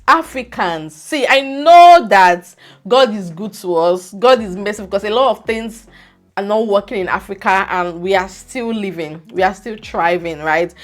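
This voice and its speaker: Young Nigerian woman vlogger, animated and scolding, speaks in a plain middle pitch. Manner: animated, scolding, pidgin words mixed in. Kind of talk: casual opinionated vlog monologue.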